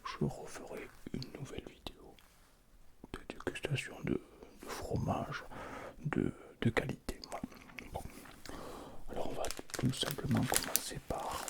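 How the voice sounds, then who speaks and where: A man hosting an ASMR tasting in a casual, hushed, low voice. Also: creaky. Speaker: ASMR video host. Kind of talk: whispered ASMR food tasting.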